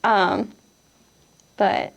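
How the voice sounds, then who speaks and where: A woman's voice: medium pitch, steady tone, drawn-out words, gravelly, low-quality recording. A 19-year-old woman with a Wisconsin accent, reading a word list and then answering interview questions hesitantly, with many fillers. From Kenosha, Wisconsin.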